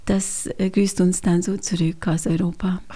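A Hungarian-born woman, a German teacher, talking as a guest in a thoughtful, measured way with pauses and fillers. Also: fairly low pitch, level tone, dark tone. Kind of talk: radio conversation.

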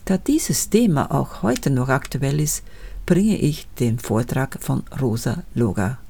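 A woman's voice: a bass voice, lively intonation, slow, vocal fry, mellow, slight background noise. A woman reading a scripted introduction as a presenter. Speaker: presenter introducing a recorded lecture. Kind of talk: scripted introduction, read aloud.

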